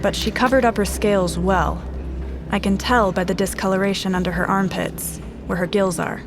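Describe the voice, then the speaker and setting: An actress playing a sharp, composed special agent in an audio drama, speaking in terse, clinical fragments like a profiler examining a scene. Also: female voice, mid-range voice, steady tone, moderate pace, lightly acted. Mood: clinical, focused. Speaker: investigator. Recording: music behind the dialogue.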